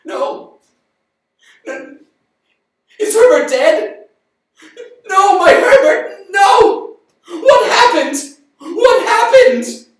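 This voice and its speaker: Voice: sits high, wildly swooping pitch, normal speed, pitched above the performer's own voice. A storyteller voicing a panicked elderly mother who stammers as she speaks. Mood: frantic. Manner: stammering, frantic. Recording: roomy, distant mic.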